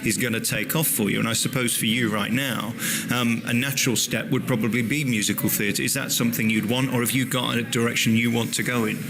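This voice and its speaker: A man's voice: medium pitch, steady tone, brisk, gravelly, a crowd in the background. A man hosting an interview, asking chatty, leading questions. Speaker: radio interviewer. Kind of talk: conversational interviewing.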